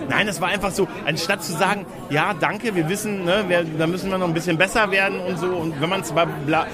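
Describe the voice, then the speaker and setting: A man's voice: sits high, fairly even intonation, brisk. A man hosting a casual live podcast in a pub, joking and laughing often. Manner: joking, laughs often, puts on mocking voices. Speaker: podcast host. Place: pub with a crowd. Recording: crowd chatter in a pub.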